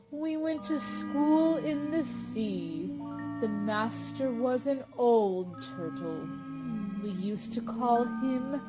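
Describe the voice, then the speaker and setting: Picture a woman acting a sad, easily offended turtle telling a tale in a radio play. The delivery drags very slowly and ponderously, a little high in pitch. Music runs underneath. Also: poor audio. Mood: mournful, touchy. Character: melancholy, touchy, ponderous old Mock Turtle.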